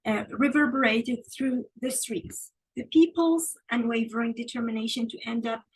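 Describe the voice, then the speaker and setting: A woman's voice: on the high side, fairly even intonation, moderate pace, breathy edge, no echo, rough recording. A woman from Tunisia presenting an academic conference paper over a video call, speaking hesitantly with frequent fillers.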